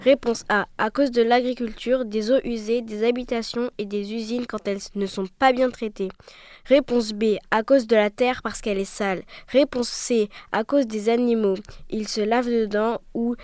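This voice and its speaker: A woman's voice: on the high side, fairly even intonation, moderate pace, a little mellow, no room sound, clean studio sound. A young girl presenting a quiz from a script as a radio presenter. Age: child.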